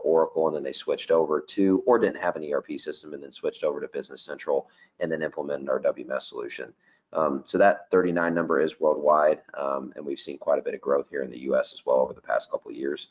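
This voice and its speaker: A man giving a casual software product presentation in a webinar, with lots of "um" and "you know". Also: very deep voice, muffled recording. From not Danish. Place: office.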